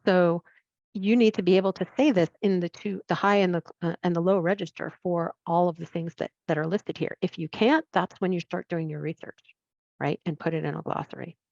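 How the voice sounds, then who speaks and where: Woman co-presenter, lowish gravelly voice, dry and muffled lo-fi audio. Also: steady tone, a little fast, darkish tone, no echo. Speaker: workshop co-presenter. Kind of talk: online workshop presentation. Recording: dull, dry lo-fi sound.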